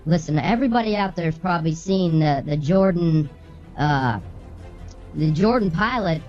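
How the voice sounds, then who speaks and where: Brash low-voiced woman over background music. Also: animated, normal speed, a little mellow. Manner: brash, bossy, swearing. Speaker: co-host reading the news. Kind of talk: casual comic news banter. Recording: slightly muffled, music underneath.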